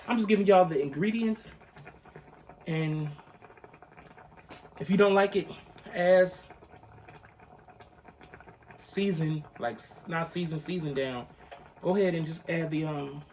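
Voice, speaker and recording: A man's voice: on the high side, slow, pauses often, darkish tone, a little hiss or hum. A young man hosting a cooking video in his living room, chatting casually to viewers with a groggy morning voice. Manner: laid-back, chatty, addresses viewers as y'all. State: morning voice, just woke up. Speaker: cooking channel host. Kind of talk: casual recipe demonstration. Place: living room with a pot on a hot plate.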